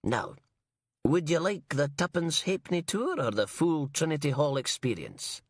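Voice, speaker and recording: A man's voice: fairly high pitch, very animated, moderate pace, no room sound, clean studio sound, pitched above the performer's own voice. A man reading an audiobook, voicing an eager, chatty elderly man with a wheezy edge. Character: chatty elderly male hall caretaker, eager.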